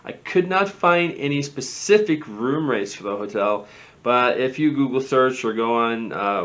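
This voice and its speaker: A man's voice: on the low side, a bit bright. A man hosting spooky lore segments with theatrical emphasis, phrases rising and dropping away. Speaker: show host. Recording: slightly dull, lo-fi audio.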